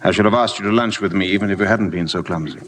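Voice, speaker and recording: Low-voiced, brusque aloof gentleman. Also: racing. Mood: commanding. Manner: curt, commanding, formally polite, sardonic. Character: brusque, aloof, dryly ironic wealthy gentleman widower. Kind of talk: golden age radio drama acting. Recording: vintage radio broadcast with faint music.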